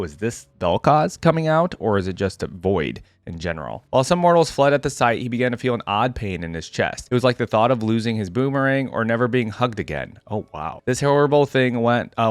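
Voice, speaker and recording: A man with a medium-pitched voice hosts a casual reaction video. He moves between reading text aloud and informal, conversational asides. The sound is dry, with very little room in it. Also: no echo. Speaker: YouTube host reacting to game lore.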